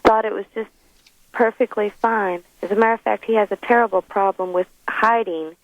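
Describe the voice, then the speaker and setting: A woman's voice: mid-range voice, steady tone, moderate pace, no echo. A young adult woman talking casually as a caller, a mother describing things over the telephone. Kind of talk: casual phone conversation. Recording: dull lo-fi telephone line.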